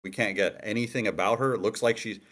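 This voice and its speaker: A man with a lowish voice debates casually in a workshop. He explains by example, often restarting and rewording his argument as he works through it. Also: fast, a bit bright. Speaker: participant in a screenwriting critique.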